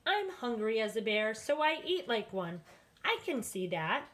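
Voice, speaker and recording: A woman as a kindly, slow-talking storybook grandmother. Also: fairly high pitch, sing-song. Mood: amused, fussing. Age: older. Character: kindly, hospitable old grandmother, chuckling and fussing. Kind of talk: children's storybook read-aloud.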